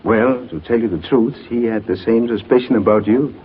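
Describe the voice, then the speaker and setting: An actor playing a kindly, amused older doctor in an avuncular, gently teasing 1940s radio drama style. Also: male voice, mid-range voice, normal speed, slightly rough, lightly acted. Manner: avuncular, hesitant, chuckling. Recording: muffled old 1940s radio recording.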